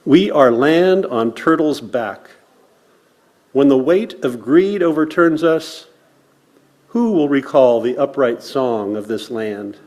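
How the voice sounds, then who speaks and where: Slow-paced man reciting solemnly to a congregation. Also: mid-range voice, animated, darkish tone. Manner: solemn, measured, deliberate phrasing with pauses. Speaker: worship service speaker. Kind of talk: land acknowledgement and poetry recitation to a congregation.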